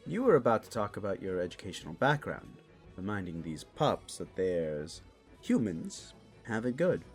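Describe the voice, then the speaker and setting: A man's voice: deep voice, sing-song, moderate pace. A man playing a cheeky, pompous raccoon lord of mischief in a fantasy audio drama, teasing and dry. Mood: wry. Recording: background music under the voice.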